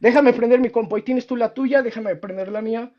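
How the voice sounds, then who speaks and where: A man's voice: extremely high pitch, quick pace. Mexican-accented male debater, self-styled historian, repeats himself and talks down, muffled lo-fi sound. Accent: Mexican Spanish. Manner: interrupts, repeats words, patronizing "mi estimado". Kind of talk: heated debate on a call.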